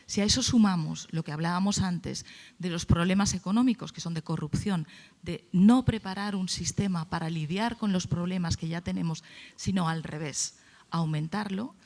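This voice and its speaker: Gravelly-voiced woman speaking on a panel. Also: on the low side, moderate pace, darkish tone. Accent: Spain Spanish. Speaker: panelist at a congress. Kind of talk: opinionated panel discussion talk.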